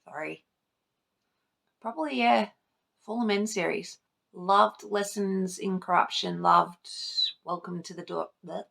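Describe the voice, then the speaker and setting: Creaky-voiced woman chatting casually about books. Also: on the low side, unhurried, pauses often, fairly bright tone. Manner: informal, rambling, self-interrupting, lightly sweary. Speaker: book vlogger.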